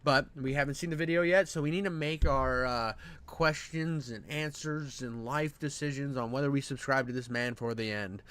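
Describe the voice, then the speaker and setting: A man giving casual reaction commentary as a host, rambling in crude slang with an expressive delivery. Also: mid-range voice, moderate pace, clean tone.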